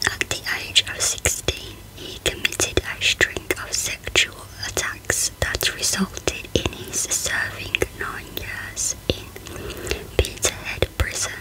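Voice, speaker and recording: A woman hosting a true crime channel, reading out a case in a hushed ASMR whisper. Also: takes it slowly, poor audio.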